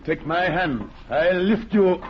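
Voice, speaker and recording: An actor playing a big, proud, fearless rebel commander who speaks terse, commanding broken English in a 1940s radio drama. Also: male voice, high-pitched, expressive, takes it slowly, slight background noise. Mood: commanding, grim. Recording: dull vintage radio recording with music.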